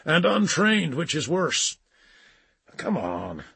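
A man's voice: fairly high pitch, wildly swooping pitch, takes it slowly, creaky, a bit bright, husky, no room sound, voice pushed up, exaggerated voice. A man voicing a breezy, blunt and persuasive male psychic agent in an audiobook. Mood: urgent, blunt. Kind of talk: audiobook character dialogue.

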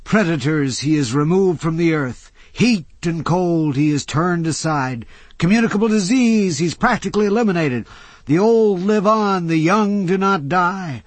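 An old crank of a man, played by a man in a high, loud, husky voice, rolls out his doom like a sermon. He declaims with gleeful grandeur and lively swings of pitch, recorded dry and close. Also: no room sound.

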